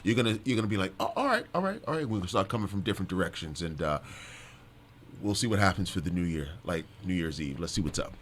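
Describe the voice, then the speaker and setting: Low-voiced man in casual chat. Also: expressive, fast talker, slight background noise. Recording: busy room with crowd chatter.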